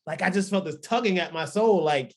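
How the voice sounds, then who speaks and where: A man's voice: high voice, fairly quick. A man in his thirties, a pastor and record producer born in Liberia and raised in Florida, telling his story in a podcast interview with lots of ums and restarts. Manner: rambling, many ums and restarts. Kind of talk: relaxed testimony in a podcast interview.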